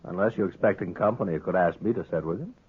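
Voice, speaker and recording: An actor playing a sly, brash saloon-owning gambler who needles others in a Western American accent, in a 1950s radio western. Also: male voice, low-pitched, quick pace, breathy edge, phone-quality sound. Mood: smug, easygoing. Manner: cocky, needling, talkative. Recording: thin old radio broadcast recording.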